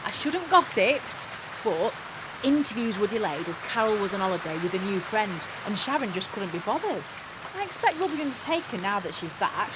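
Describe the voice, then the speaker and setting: A woman's voice: mid-range voice, big pitch swings, a little fast, natural voice. An actress playing a bossy, self-important, gossiping woman manager in an audio comedy drama, officious and patronising. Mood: patronising, confiding. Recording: clear rain and outdoor ambience noise.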